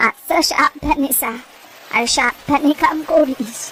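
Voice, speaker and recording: A woman voicing a poor, caring old village mother with an East Bengali accent, gently fretting and advising. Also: sits high, unhurried, soft background music. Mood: resigned, caring.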